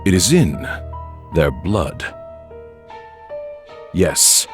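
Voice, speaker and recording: Urbane villainous scholar, lowish voice, hugely animated intonation, grandiose game drama, music behind. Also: male voice, very slow, exaggerated voice. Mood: grandiose, lecturing. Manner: urbane, grandiose, savoring his words. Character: smooth, theatrical scholar villain with hidden malice.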